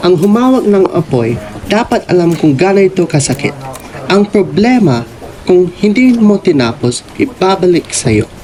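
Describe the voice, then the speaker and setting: A man's voice: high-pitched, big pitch swings, normal speed, a crowd in the background, rough recording. A man playing a ruthless, arrogant middle-aged governor in a radio drama, imperious and menacing, mixing in English. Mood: cold, threatening.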